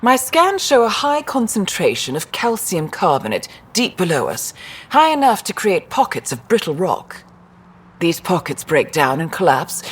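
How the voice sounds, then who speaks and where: An actress playing a stranded field scientist in a video game, with a wry, expressive delivery. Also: female voice, on the low side, breathy edge. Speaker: scientist character.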